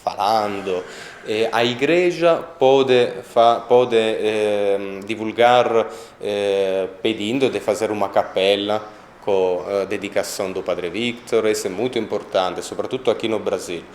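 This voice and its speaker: A man with an Italian accent, speaking a second language in a documentary interview, pausing often to find his words. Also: on the low side, roomy sound. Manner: halting, searching for words, many pauses. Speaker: interviewee on a sainthood cause.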